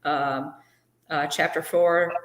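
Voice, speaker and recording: A woman with a low, deep voice hosts a casual live stream. She talks chattily, greets viewers by name and pads her sentences with fillers. The sound rings a little in a roomy space. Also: steady tone, slow, slight background noise. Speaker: co-host of a live fan show.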